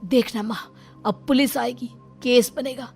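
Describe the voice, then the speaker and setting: A woman's voice: a little high, steady tone, unhurried, vocal fry, a little mellow, faint music behind, pitched above the performer's own voice. A woman voicing a protective young husband in a cartoon moral story, exclamatory and reproachful. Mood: accusing. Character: protective adult son, a concerned young husband.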